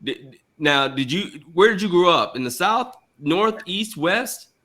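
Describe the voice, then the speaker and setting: A man from Arkansas co-hosting a podcast, telling childhood stories with lively, friendly ribbing. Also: sits high, measured pace. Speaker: podcast co-host. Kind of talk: casual podcast banter.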